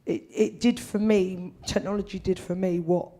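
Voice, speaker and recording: A speaker giving a slow, earnest comment from the audience, heard from a distance. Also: mid-range voice, fairly even intonation, mellow. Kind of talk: comment from the floor.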